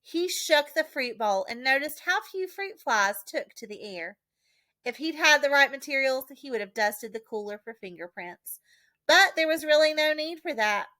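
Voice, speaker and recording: A woman reading a children's storybook expressively, with dramatic, deliberate emphasis. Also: sits high, expressive, pushed and bright, no room sound. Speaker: audiobook reader, teacher.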